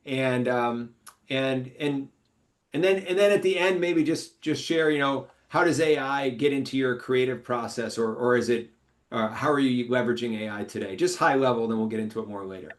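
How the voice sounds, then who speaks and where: A male podcast host at an ordinary pitch. He asks his questions haltingly, stumbling over repeated words and fillers. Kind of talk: casual interview questions.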